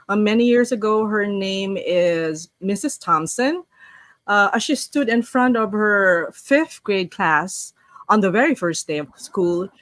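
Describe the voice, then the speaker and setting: A woman's voice: mid-range voice, dry recording. A woman from the Philippines, hosting a casual online session and reading a story aloud with restarts. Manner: chatty, warm-up greetings, frequent restarts while reading. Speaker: host.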